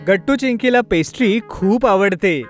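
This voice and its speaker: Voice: medium pitch, moderate pace. A narrator reading a scripted children's story in a storytelling style. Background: background music.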